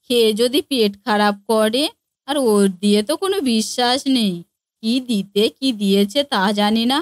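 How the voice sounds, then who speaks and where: A woman voicing a suspicious, bossy older mother-in-law in a family comedy cartoon, nagging with rapid questions. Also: a little high, no echo, clean studio sound, close to an everyday voice. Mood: wary, dismissive. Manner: nagging, quick-fire questioning.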